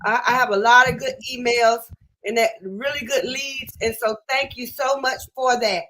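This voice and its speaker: Upbeat female stream host, high and bright, says y'all. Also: steady tone, normal speed, low-quality recording. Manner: upbeat, laughs often, addresses viewers as y'all. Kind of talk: casual live-stream chat.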